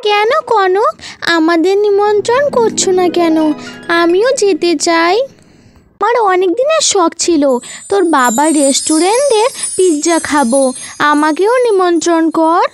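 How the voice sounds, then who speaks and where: An eager cartoon bird child, voiced by a woman, begging insistently. The voice is very high and swoops up and down. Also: animated, a little slow, faint music behind. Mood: pleading. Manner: pleading, insistent. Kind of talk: animated cartoon voice acting.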